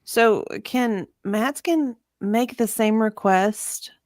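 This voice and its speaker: A woman's voice: on the high side, extremely slow, dry recording, studio quality. A woman chatting casually as a panelist, asking questions and agreeing in short repeated words. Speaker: live stream panelist. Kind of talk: casual chat.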